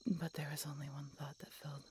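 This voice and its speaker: A woman playing an ancient, mortally wounded female dragon in an audio roleplay, breathy and halting, tender through weakness and pain. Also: deep voice, little pitch movement, darkish tone, a little hiss or hum, close to an everyday voice. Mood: loving, sorrowful. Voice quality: breathy, whispery. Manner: halting, weakened, pained delivery with dramatic pauses. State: weak and in pain. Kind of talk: dramatic audio roleplay addressed to listener.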